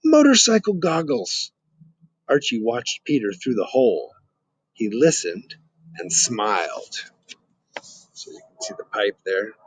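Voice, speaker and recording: Storyteller, male, middle-aged: husky edge, lively pitch swings, dramatic children's read-aloud with chatty asides. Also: slow, long pauses, no room sound, low-quality recording. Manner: dramatic, questioning asides to young listeners. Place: outdoors in a garden.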